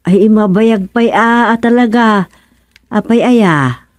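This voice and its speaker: A woman's voice: fairly high pitch, slow, dry recording, studio quality. A woman with an Ilocano accent playing a plain-spoken, teasing village woman in a radio comedy drama, blunt and questioning. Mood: curious.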